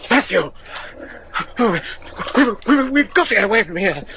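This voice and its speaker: Nervous scared sidekick in horror radio play, big pitch swings, noisy night ambience. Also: male voice, very animated, normal speed. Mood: panicked. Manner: dramatic, uneasy. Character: fearful, anxious male companion in gothic horror. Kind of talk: gothic radio drama acting. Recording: noisy radio drama with outdoor night effects.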